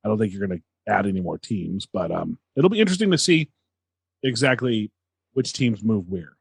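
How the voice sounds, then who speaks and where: A man co-hosting a sports podcast, giving casual commentary with a halting delivery that repeats words. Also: lowish voice, very animated, vocal fry, slightly rough, no echo.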